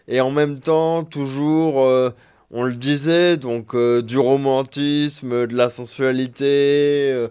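A man's voice: medium pitch, steady tone, slow, a little mellow, clean tone. A man hosting a radio show, chatting casually and searching for his words as he introduces music. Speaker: radio host.

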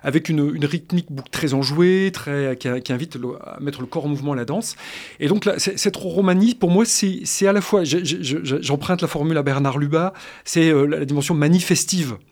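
A man explaining music history in a radio discussion, halting with many restarts and fillers but analytical. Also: a little high, a little mellow. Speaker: guest expert on music history.

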